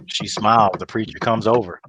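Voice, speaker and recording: A man's voice: fairly low pitch, fairly even intonation, a little fast, background noise. A man narrating a comedy table read, reading out stage directions in an informal storytelling way.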